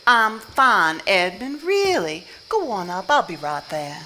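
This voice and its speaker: Lilting, bright, tender young wife. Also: female voice, mid-range voice, big pitch swings, takes it slowly, heavily acted. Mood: affectionate. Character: wistful, tender 1950s wife in a ghost drama. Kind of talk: live stage play acting. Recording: live stage recording with faint noise.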